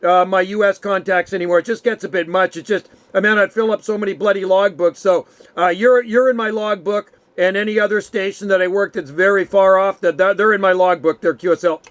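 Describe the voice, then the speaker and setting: High-voiced North American man chatting. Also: fairly even intonation, brisk. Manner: easygoing, chatty, says "man" often. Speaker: amateur radio operator and channel host. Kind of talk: casual radio chat.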